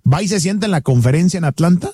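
Husky Mexican male radio host, exclamatory. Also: high-pitched, expressive, racing, mellow, dry recording, studio quality. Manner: exclamatory, reacting with slangy interjections. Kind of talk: casual comedic radio banter.

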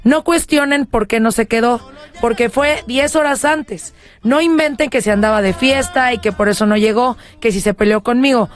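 A woman hosting a casual entertainment chat show, talking fast and asking the audience questions. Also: on the high side. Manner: chatty, asks the audience questions. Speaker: show host.

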